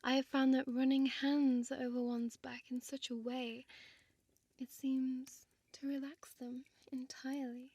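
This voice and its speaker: A woman playing a seductive, possessive vampire innkeeper in an ASMR audio roleplay, whispering softly with hypnotic, commanding slowness. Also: high voice, flat delivery, no room sound. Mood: teasing, seductive. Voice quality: breathy, soft whisper. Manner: slow, hypnotic, coaxing, deliberate pauses.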